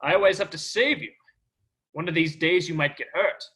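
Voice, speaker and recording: A man's voice: on the high side, normal speed, fairly bright tone, close to an everyday voice. A man playing a vain, dashing hero prince who boasts in a pompous way. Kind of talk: stage play acting.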